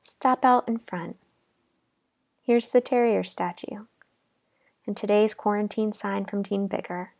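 A woman leading a guided imagery relaxation exercise in a calm, gentle, unhurried manner with pauses. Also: medium pitch, vocal fry. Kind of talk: guided imagery meditation narration.

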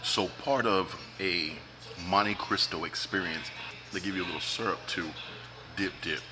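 A man's voice: low voice, normal speed, noisy background. A man, reviewing food in a casual, joking vlog while eating at a restaurant. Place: restaurant dining room, indoors.

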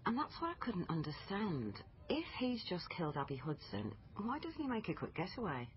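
An actress playing a persistent, matter-of-fact police family liaison officer in a naturalistic radio drama. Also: female voice, fairly low pitch, sing-song, normal speed. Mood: puzzled, explaining. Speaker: actor in radio crime drama. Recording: dull, noisy lo-fi radio recording.

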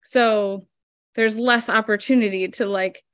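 A woman's voice: medium pitch, steady tone, measured pace, a bit bright, smooth, no room sound, studio quality. A woman in her thirties, a flower farmer guest explaining her work step by step with lots of fillers, over a video call from home. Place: home room indoors. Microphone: video call webcam.

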